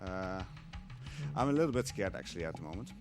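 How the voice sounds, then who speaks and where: A man's voice: deep voice, very animated, quick pace, music in the background. A young man giving live commentary while he speedruns a game at a marathon event, talking hesitantly with many fillers.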